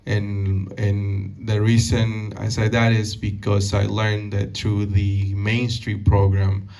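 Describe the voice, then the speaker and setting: A man in his thirties from Peru speaks at a community event in a low, flat voice. He halts and restarts often, leaning on fillers as he answers questions. He is picked up from a distance in a meeting hall. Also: vocal fry. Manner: halting, many fillers and restarts. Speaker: guest speaker.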